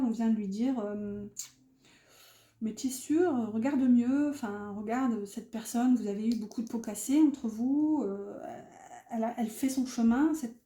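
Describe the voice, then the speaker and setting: A woman's voice: fairly high pitch, dark tone. A woman giving a casual, improvised tarot reading to viewers, chatty and often searching for her words. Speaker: tarot reader hosting an online guidance. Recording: roomy, echoey sound.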